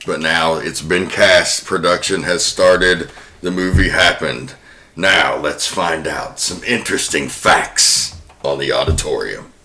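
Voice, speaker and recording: A man's voice: a bass voice, takes it slowly, pushed and bright, a little hiss or hum. A man hosting a podcast, presenting film trivia in an informal way. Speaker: podcast host.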